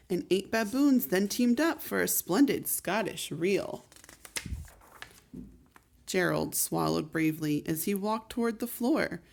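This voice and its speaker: A woman host reading a rhyming children's picture book aloud, expressive and measured in her delivery. Her voice is lowish with a gravelly texture. She paces the verses with care. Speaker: storyteller host.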